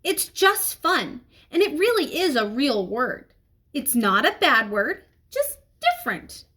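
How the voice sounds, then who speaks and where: An earnest fifth-grade boy played by a woman reading aloud stands his ground in a high, swinging voice. He is a storybook kid, informal and a little hesitant, yet stubborn. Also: sing-song, takes it slowly, bright, forceful tone, exaggerated voice. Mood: earnest, questioning.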